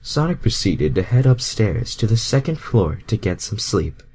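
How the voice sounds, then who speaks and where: A man's voice: on the low side, very animated, moderate pace, darkish tone, husky. A man reading fan fiction aloud in an amateur, mocking way, crude and self-deprecating, breaking off to comment.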